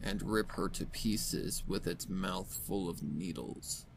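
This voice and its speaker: A man's voice: sits low, slow, gravelly, crowd noise, rough recording. A man narrating a horror story in a dramatic, scripted reading style.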